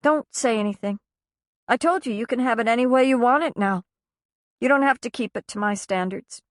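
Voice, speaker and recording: A woman reads a worn-out mother in a storybook, soft-spoken but firm. She sounds tired and resolved. The voice is dry and close. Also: fairly high pitch, fairly quick, no echo, pitched above the performer's own voice, close to an everyday voice.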